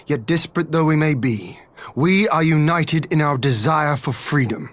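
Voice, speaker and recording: A man playing an assured, idealistic young lord in a fantasy game, speaking in formal, measured, eloquent phrases. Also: fairly high pitch, a bit bright, no echo, lightly acted. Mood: resolute. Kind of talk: scripted fantasy game acting.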